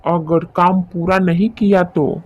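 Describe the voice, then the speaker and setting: A man's voice: high-pitched, little pitch movement, takes it slowly, highs cut off, slight background noise. A man playing a stern, uncaring sales manager in a cartoon moral story, blunt and commanding. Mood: stern, threatening.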